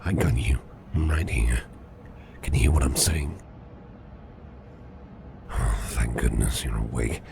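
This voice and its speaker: A man playing a kindly, protective businessman boss in an ASMR roleplay, speaking in a gentle, reassuring, gravelly murmur. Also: slow, pauses often, heavily acted. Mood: worried, soothing. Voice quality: very deep, gravelly, breathy murmur. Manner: gentle, reassuring, softly spoken. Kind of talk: ASMR personal attention roleplay. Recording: clear background noise and ambience.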